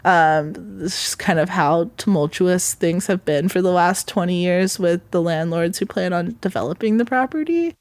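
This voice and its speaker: A woman's voice: on the low side, moderate pace, few pauses, darkish tone, no echo. A woman explaining things casually in an interview. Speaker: tenant being interviewed.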